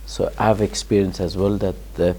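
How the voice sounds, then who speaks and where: A man from Afghanistan answering interview questions as a guest, pausing and restarting often. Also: sits low, little pitch movement, warm tone, rough recording. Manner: hesitant, many restarts and fillers, laughs in places. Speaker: guest, charity founder.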